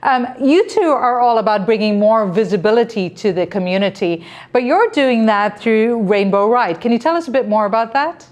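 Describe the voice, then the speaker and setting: A woman's voice: a little high, lively intonation, moderate pace, few pauses, a little mellow. Polished middle-aged woman TV host. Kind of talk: TV interview hosting. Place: TV studio.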